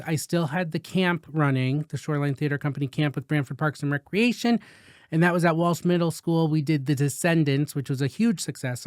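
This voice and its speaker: Chatty upbeat male host, smooth voice. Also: on the high side, no echo, studio quality. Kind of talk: casual podcast chat.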